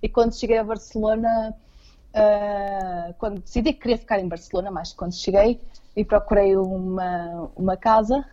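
Portuguese woman chatting in an interview, relaxed warm tone, stumbles over words a bit, kinda dull lofi audio. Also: medium pitch, moderate pace. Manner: hesitant, repeats words while searching for phrasing. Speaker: interview guest living abroad. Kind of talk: casual conversational interview.

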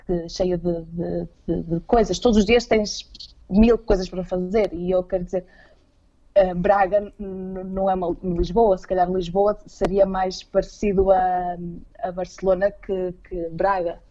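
A woman's voice: medium pitch, mellow, dull audio. A woman with a Portuguese accent talking casually as an interview guest, often hesitating and repeating words as she searches for phrasing. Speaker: interview guest living abroad.

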